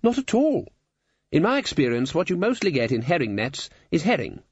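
A man's voice: on the high side, big pitch swings, normal speed, breathy edge, no room sound, lo-fi sound, pitched above the performer's own voice. A man voicing a jovial older wizard who runs a university, bluff and courteous, in a storybook reading. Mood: affable. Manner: bluff, conversational, courteous with hesitations.

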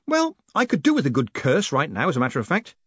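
Man as a brisk, exasperated postmaster. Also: a little high, wildly swooping pitch, a bit bright, breathy edge, no room sound, lo-fi sound, voice pushed up, full character voice. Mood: wry. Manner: snappy, sardonic, commanding. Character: brisk, exasperated, smooth-talking male postmaster.

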